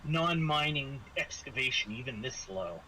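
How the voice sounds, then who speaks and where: A man narrating a tabletop role-playing game as game master, halting and searching for words. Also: mid-range voice, animated, pushed and bright, slight background noise, low-quality recording.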